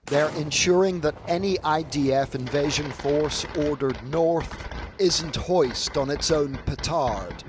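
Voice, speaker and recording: A man narrates a scripted television news report in a slightly high voice. Faint ambient noise with vehicles sits behind him. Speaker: news correspondent.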